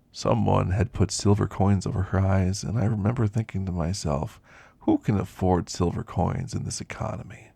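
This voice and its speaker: A man playing a weary, wistful elderly werewolf who confides in slow, reflective ASMR roleplay storytelling, gravelly and husky. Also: deep voice, a little mellow, no room sound, exaggerated voice. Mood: wistful, mournful. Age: older.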